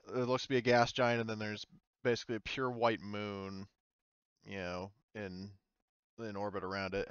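Male game master, informal and halting, smooth low voice, close dry slightly dull recording. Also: a bit bright, no room sound. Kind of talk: improvised narration of a tabletop roleplaying game.

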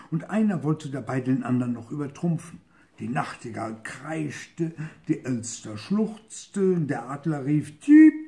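An older man reading a fairy tale aloud expressively and deliberately, with dramatic pauses, as a storyteller. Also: mid-range voice, dark tone. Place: book-lined living room, seated in an armchair.

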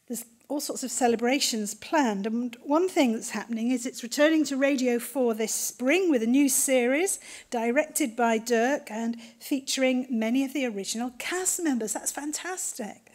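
A middle-aged woman with a fairly high voice introduces guest speakers from a lectern. Her delivery is that of a prepared speech to a lecture theatre. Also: moderate pace, darkish tone. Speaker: event host introducing speakers.

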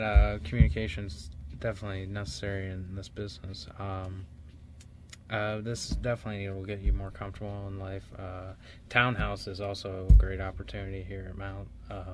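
Low, flat-voiced hesitant man in group. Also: normal speed, background noise. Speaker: radio show participant. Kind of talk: casual group radio chat.

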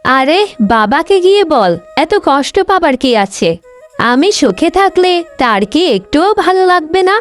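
A woman voicing a proud young bride, the elder sister in a cartoon, with a dramatic, expressive delivery. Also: high voice, moderate pace, faint music behind. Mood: haughty. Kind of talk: Bengali cartoon voice acting.

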